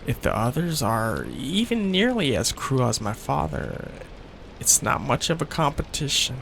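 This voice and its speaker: A man playing a soft-hearted young mafia heir in an intimate ASMR roleplay, tender and coaxing, hesitant and possessive as he seeks reassurance. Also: medium pitch, big pitch swings, unhurried, creaky, a little hiss or hum, full character voice. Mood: playful, doting.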